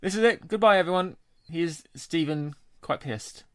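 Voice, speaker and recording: A man's voice: a little high, normal speed, a bit bright, a little hiss or hum. Jovial British man, podcast co-host. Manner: jovial, matey. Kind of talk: casual, jokey chat.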